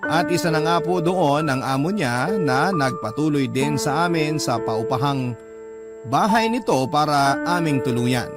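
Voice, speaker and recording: A man's voice: fairly high pitch, lively intonation, measured pace. A man playing a grateful grown child telling a life story, respectful and sentimental, as radio drama narration. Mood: grateful, sombre. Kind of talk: scripted first-person radio drama narration. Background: clear background music.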